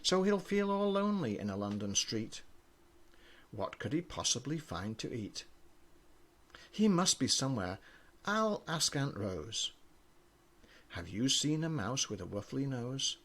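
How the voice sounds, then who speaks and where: An older man, a grandfather, reading children's poems aloud with an expressive storybook delivery. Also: mid-range voice, measured pace, long pauses.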